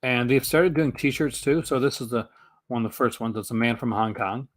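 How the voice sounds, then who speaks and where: A young man hosting a casual live stream, rambling with lots of fillers and restarts, his voice going hoarse. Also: medium pitch, normal speed. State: losing his voice. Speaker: host.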